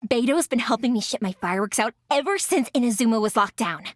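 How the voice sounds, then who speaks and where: A woman's voice: on the high side, animated, fast, no echo, clean studio sound. A woman voicing a cheerful, playful young fireworks maker in game voice lines, bubbly and teasing. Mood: chatty. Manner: bubbly, teasing, bursts of giggles. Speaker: game character.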